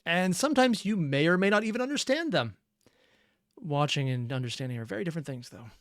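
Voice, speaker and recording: A reaction video host giving casual commentary in a halting, emotionally invested way. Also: medium pitch, animated, dry recording, studio quality.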